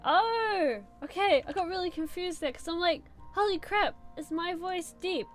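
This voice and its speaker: A woman's voice: extremely high pitch, normal speed, soft background music. A young adult woman chatting casually while she draws on a live stream, answering chat with many "like" and "um" fillers. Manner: rambling, full of "like" and "um" fillers. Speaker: streamer drawing and reading chat.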